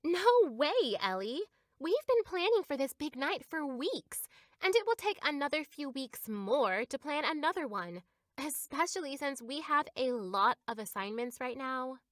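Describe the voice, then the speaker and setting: A woman's voice: sits high, sing-song, measured pace, a bit bright, dry recording, studio quality, heavily acted. A woman voicing a blunt, casual teenage big sister in a cartoon, firm and matter-of-fact.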